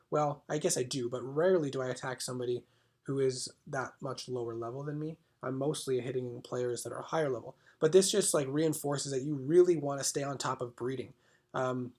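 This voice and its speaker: A man with a congested voice from a cold, explaining game rule changes in a casual, hesitant way, often clearing his throat. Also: mid-range voice. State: congested, slightly sick. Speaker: gaming channel host.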